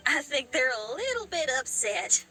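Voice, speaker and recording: Young game heroine: high, lilting, gentle, cheerful, with music clearly behind. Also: female voice, big pitch swings, normal speed. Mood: gently amused. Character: sweet, easygoing, cheerful young woman. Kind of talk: anime game character acting.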